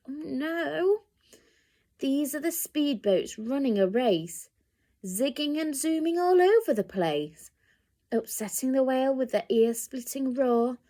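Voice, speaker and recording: A woman reading a picture book aloud to children, with expressive, dramatic emphasis and direct asides to young listeners. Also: high-pitched.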